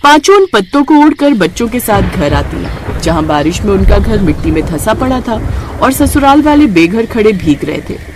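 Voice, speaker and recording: Woman storyteller over clear background noise. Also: medium pitch, big pitch swings, moderate pace. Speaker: storyteller narrating and voicing characters. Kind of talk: scripted narration of a Hindi moral story.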